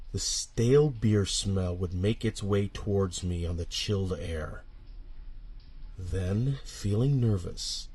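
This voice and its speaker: A man with a husky voice narrating a first-person horror story, dramatic and suspenseful, with deliberate pauses. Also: fairly low pitch, a little mellow.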